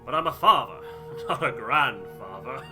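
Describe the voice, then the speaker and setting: A man's voice: medium pitch, sing-song, a little slow, music in the background. A man voicing an ageing crewman in a game, a good-humoured father who speaks frankly and wryly about himself. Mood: wry, earnest. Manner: frank, wry, self-deprecating. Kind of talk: voiced game dialogue.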